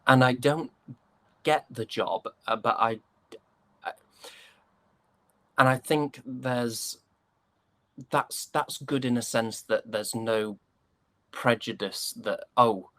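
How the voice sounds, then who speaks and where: A man's voice: medium pitch, fairly even intonation, slow, pauses often, fairly bright tone, no room sound. A man giving casual interview answers as a guest, thoughtful and hesitant. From United Kingdom.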